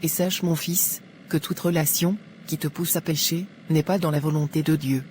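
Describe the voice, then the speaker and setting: Woman acting a devout, gently counselling mother. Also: low voice, steady tone, moderate pace, noisy background, natural voice. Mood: advising, pious. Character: devout, proud middle-aged mother giving gentle counsel.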